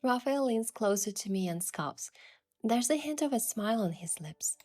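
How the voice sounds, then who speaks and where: A woman's voice: a little high, lively intonation, normal speed, a little mellow. A woman reading scripted first-person romance narration and voicing the heroine. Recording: music behind the voice.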